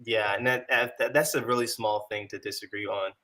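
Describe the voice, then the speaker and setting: A man's voice: lowish voice, fairly even intonation, a little fast, a loud crowd. A man in a casual talk show debate, arguing his point and pushing back on the hosts. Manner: argumentative, pushes back.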